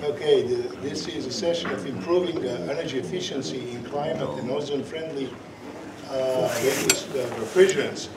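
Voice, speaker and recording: A man with a Serbian accent, a native Serbian speaker, chairing and opening a conference session, halting with many fillers and restarts, on a portable mic. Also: medium pitch. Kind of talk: conference presentation. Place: conference hall. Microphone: portable microphone. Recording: echoey hall, from far off, noisy.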